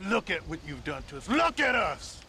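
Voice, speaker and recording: Accusing, embittered outcast; gravelly, tense voice, indignant, game cutscene. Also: male voice, fairly high pitch, big pitch swings, moderate pace, bright, forceful tone, a little hiss or hum, exaggerated voice. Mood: bitter, indignant. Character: bitter, accusing man of a dispossessed people. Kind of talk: video game cutscene acting.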